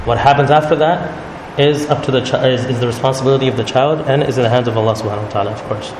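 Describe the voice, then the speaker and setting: A man leading a religious study circle, explaining calmly and often checking in with "right?". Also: medium pitch, fast talker. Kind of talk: religious talk to a group. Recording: echoey hall with noise.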